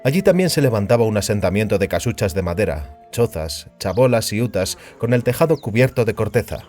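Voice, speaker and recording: A man's voice: fairly low pitch, very animated, a little fast, darkish tone. A man narrating a fantasy novel in a scripted, literary audiobook style. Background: music.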